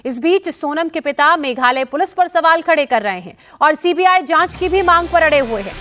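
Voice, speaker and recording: A woman's voice: high voice, animated, normal speed, fairly bright tone, soft background music. A woman news presenter narrating a scripted report to viewers in an emphatic, explanatory way.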